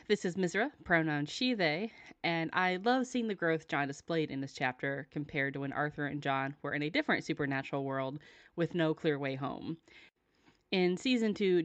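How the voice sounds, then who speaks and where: A woman giving prepared commentary as a listener's voice message, in a dull, roomy recording. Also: low-pitched.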